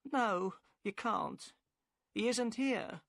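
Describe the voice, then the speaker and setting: A man's voice: sits high, extremely slow, gravelly, a bit bright, no echo, clean studio sound, pitched above the performer's own voice, full character voice. A man voicing a worried, tearful middle-aged wife of a boatman, who answers deferentially and keeps calling him sir. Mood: anxious. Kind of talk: audiobook character voice.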